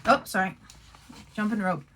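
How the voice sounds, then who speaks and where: A woman reading a picture book aloud to young children like a teacher, with expressive, deliberate phrasing and friendly asides. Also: mid-range voice, slight background noise.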